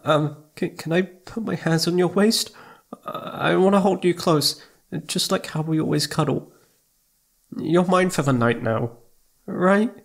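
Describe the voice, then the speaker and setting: A man voicing a shy, adoring young boyfriend in an ASMR roleplay, with soft, breathy, pleading, hesitant speech. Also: fairly high pitch, measured pace, voice pushed up. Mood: shy, eager.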